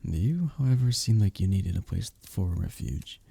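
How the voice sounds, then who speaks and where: Man playing a dark-voiced, breathy, flirtatious medieval innkeeper. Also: low-pitched, lively intonation, normal speed, heavily acted. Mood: courteous, warm. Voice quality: breathy, soft. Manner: flirtatious, courtly, soft chuckles between phrases. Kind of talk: intimate ASMR roleplay with flowery old-fashioned speech.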